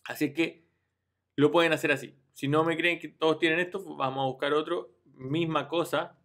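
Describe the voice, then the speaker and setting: A man in his thirties walks viewers through a screen-share tutorial, his voice sitting a touch high for a man. He talks informally and directly to the audience, checks in with them often, and restarts his phrases as he goes. He speaks from a room at home into a webcam. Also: fairly even intonation, normal speed, fairly bright tone. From Chile. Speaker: online business youtuber.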